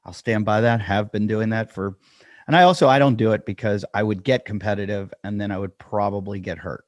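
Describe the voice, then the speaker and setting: A man in a dry, close-miked room hosts a casual podcast in a lowish voice. He rambles, jokes at his own expense and leans on fillers. It sounds like an unscripted chat to his listeners. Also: brisk, no echo. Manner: rambling, self-deprecating, with frequent fillers. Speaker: podcast host.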